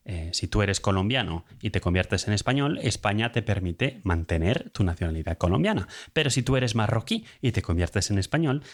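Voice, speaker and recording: Low-voiced man with a Spain Spanish accent. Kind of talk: casual informal explainer monologue.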